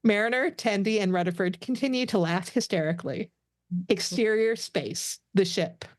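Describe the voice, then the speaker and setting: A woman's voice: mid-range voice, moderate pace, dry recording. A woman narrator reading scripted stage directions for a podcast table read.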